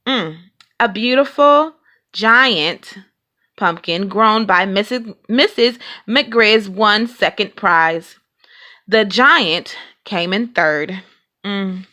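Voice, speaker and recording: Bright-voiced woman reading a children's story. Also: medium pitch, extremely slow. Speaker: storybook reader. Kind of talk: expressive children's read-aloud narration.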